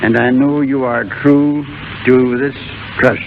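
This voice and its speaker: Gravelly older man giving solemn speech. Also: mid-range voice, flat delivery, takes it slowly. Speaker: guest speaker. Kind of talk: formal tribute speech. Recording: muffled, noisy 1930s radio broadcast.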